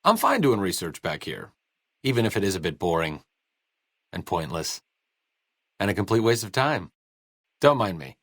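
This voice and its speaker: Video game companion: a bookish, sarcastic male doctor, idealist and self-deprecating, very varied pitch, no room sound. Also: big pitch swings, moderate pace, pauses often, a bit bright, no echo, exaggerated voice. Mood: bored, sardonic. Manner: dry, sarcastic, wordy delivery.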